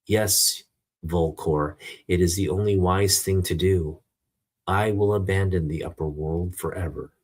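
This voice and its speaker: A man voicing a noble, resolute superhero, speaking in solemn, deliberate declarations from a comic book. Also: deep voice, a little mellow, breathy edge. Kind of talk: comic book read aloud.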